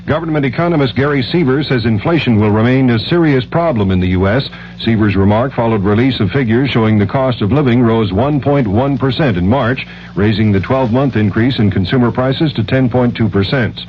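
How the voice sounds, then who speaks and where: A man's voice: mid-range voice, a little fast. An American man reading a scripted 1970s radio news bulletin. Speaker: radio newsreader. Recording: muffled vintage radio, faint noise.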